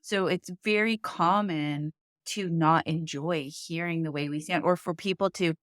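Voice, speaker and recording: Earnest woman, lowish dry voice. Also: measured pace, fairly bright tone, no echo. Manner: earnest and emphatic, repeats key points. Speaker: podcast co-host and speaking teacher. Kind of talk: casual book discussion.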